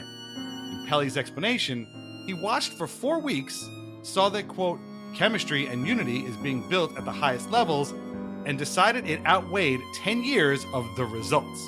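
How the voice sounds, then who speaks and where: A man hosting a podcast in a theatrical, announcer-like, mock-formal way, moving between banter and dramatic reading. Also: fairly high pitch. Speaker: podcast host.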